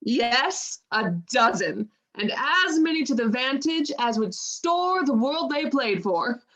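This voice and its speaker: A woman's voice: high voice, animated, slow pace, a bit bright, full character voice, poor audio. A woman playing a worldly, outspoken lady's maid in a Shakespearean radio play, with a wry, frank manner. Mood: wry, teasing.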